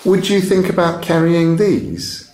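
A man's voice: fairly high pitch, expressive, a little slow, darkish tone, reverberant, pitched above the performer's own voice. Man voicing a blunt English teenage schoolgirl. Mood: direct, unapologetic. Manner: colloquial, direct questioning. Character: shrewd, blunt, unembarrassed British teenage schoolgirl writer.

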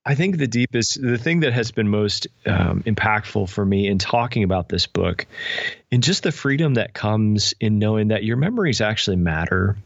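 A man's voice: fairly low pitch, expressive, moderate pace, vocal fry, no room sound. A man speaking as an interview guest, explaining ideas in a thoughtful, conversational way with illustrative asides. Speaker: guest author and pastor. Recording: dull, lo-fi, dry sound.

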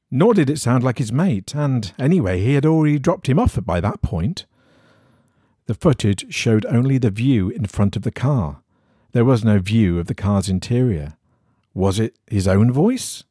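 A man reading an eerie horror story in a measured, suspenseful storytelling manner. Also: medium pitch, very animated, dark tone, husky, dry recording, studio quality. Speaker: story reader.

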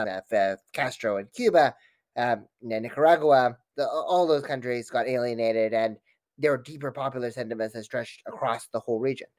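A man's voice: medium pitch, dry recording. A man speaking as an expert guest in an interview, giving analytical, wordy answers with frequent false starts.

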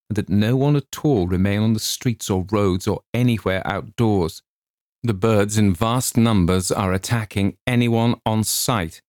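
A man playing a stern male announcer reading an emergency bulletin in a formal, deliberate manner, as in an audio story. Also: fairly low pitch, darkish tone, no echo, clean studio sound, lightly acted.

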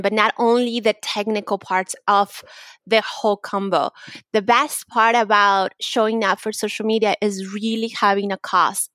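A young woman with a Mexican accent talks freely as a podcast guest and keeps circling back to restart her sentences. Her voice sits at a middle pitch, and the recording is close and dry with no room sound. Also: fairly bright tone, no room sound. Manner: chatty, laughs often, many restarts. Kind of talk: casual interview chat.

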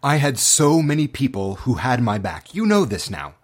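A man's voice: medium pitch, big pitch swings, normal speed. A man speaking as an acting teacher, telling personal stories to motivate students in an earnest, impassioned way with dramatic, emphatic phrasing.

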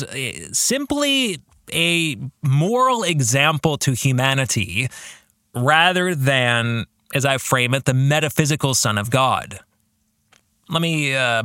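Male podcast host, reflective faith talk. Bright, lively intonation, deliberate halting phrasing, dry close sound. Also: on the high side, no echo. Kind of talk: reflective spoken book discussion, faith talk.

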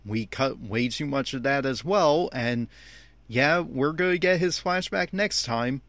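A man's voice: medium pitch, a little slow, a bit bright, no room sound. Exasperated man giving commentary, close dry sound. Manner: exasperated, complaining. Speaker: fan podcast host. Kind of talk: opinionated anime episode commentary.

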